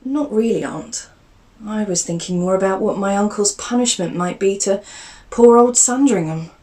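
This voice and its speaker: A woman's voice: mid-range voice, moderate pace, a little mellow, recorded from a distance, lightly acted. A woman voicing a polite, respectful young man, recorded a little off-mic.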